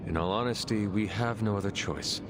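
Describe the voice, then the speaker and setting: An actor playing a solemn, self-assured warrior hero in a video game, speaking in a formal, terse, deadpan way. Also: male voice, on the low side, a little fast, background noise, lightly acted. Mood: skeptical. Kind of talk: video game cutscene dialogue.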